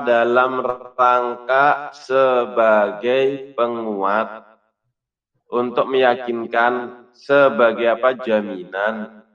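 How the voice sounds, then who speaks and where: A man's voice: medium pitch, fairly bright tone. A man teaching an Islamic lesson in a didactic way, explaining a text through questions and answers. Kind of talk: religious lesson, reading Arabic text and explaining it. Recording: muffled, distant microphone.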